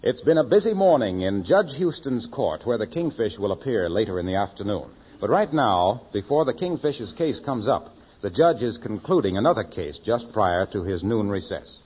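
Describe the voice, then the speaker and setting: A man's voice: on the low side, sing-song, normal speed. A man narrating as a scripted announcer for a vintage radio comedy. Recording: muffled vintage radio recording over music.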